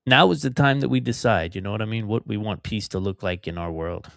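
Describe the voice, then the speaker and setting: A man's voice: fairly low pitch, brisk, creaky, fairly bright tone, no echo, studio quality. A middle-aged man from outside Philadelphia hosting a solo podcast, talking in a rambling, conversational way with emphatic repetitions. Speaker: podcast host.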